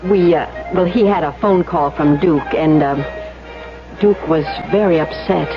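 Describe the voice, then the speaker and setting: A woman's voice: low-pitched, over music. Earnest woman on muffled old radio. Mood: concerned, probing. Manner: halting, earnest, reasoning. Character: earnest, protective hotel-keeper woman, firm but gentle. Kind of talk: 1970s radio drama acting.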